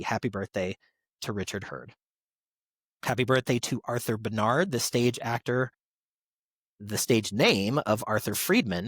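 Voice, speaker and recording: A man's voice: lowish voice, husky, dry recording. Male podcast host in a very dry recording. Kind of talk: reading birthday tributes to actors, semi-scripted podcast segment.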